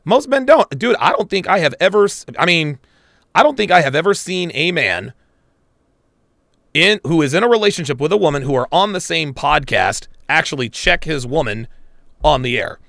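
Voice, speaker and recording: Blunt crude guy reacting on a live stream, swings his pitch all over, dry sound. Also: animated, normal speed, creaky, a bit bright, no room sound. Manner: blunt, crude, swears often; addresses live chat viewers. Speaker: podcast host reacting to a clip.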